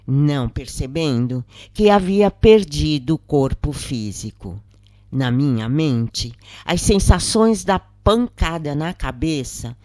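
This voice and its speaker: A narrator reads a scripted first-person testimony in a solemn, measured manner with deliberate pauses. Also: mid-range voice, a little mellow, no echo, studio quality.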